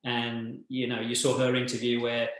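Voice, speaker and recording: A man's voice: mid-range voice, completely flat delivery, normal speed, a bit bright. A man hosting an interview, encouraging and praising his guest. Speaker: host. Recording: very echoey room.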